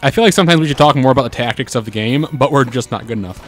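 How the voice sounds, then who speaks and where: A chatty man talking over a game session, quick asides and frequent laughs. Faint game music plays underneath his voice. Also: mid-range voice. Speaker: let's play host. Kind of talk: casual gameplay chat.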